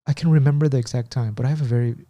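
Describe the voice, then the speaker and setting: Easygoing podcast man with a mellow, dry sound. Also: medium pitch, fairly even intonation, racing, no echo. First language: Korean is his second language. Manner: chatty, self-deprecating, laughs at himself, acts out Korean phone calls. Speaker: podcast co-host. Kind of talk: casual podcast chat.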